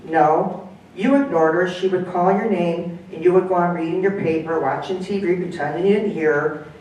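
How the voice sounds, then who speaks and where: A woman's voice: lowish voice, moderate pace, darkish tone, lightly acted. A woman voicing an aging, devoted male dog who needles his owner, recorded far off in an echoey room. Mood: insistent. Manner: indignant, needling.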